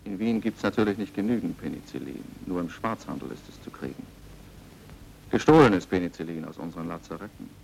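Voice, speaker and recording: An actor playing a stern, commanding British military police major in a German radio crime drama, with clipped, authoritative delivery. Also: male voice, low voice, animated, brisk, creaky, darkish tone, slight background noise, poor audio. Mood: grave, bitter.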